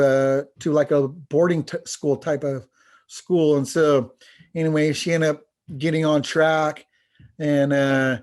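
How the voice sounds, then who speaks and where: A man with a somewhat high voice gives a panel presentation as a language teacher. His delivery is earnest and informal, with a halting flow as he works through his points. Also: a little mellow. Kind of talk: presentation with testimonials read aloud.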